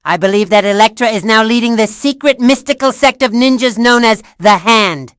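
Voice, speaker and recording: Woman doing a determined superhero lady for a fan game, highish voice, earnest and formal. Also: normal speed, fairly bright tone, clean tone, no room sound, studio quality, lightly acted. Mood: resolved. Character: determined, vengeful female action heroine. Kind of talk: scripted fan-made game voice acting.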